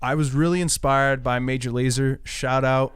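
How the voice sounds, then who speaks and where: A man's voice: medium pitch, fairly even intonation, moderate pace, clean tone, no room sound. A young man, a music producer, casually and cheerfully walking through how he built a remix. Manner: upbeat, explanatory, casual. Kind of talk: explaining a remix in a studio walkthrough.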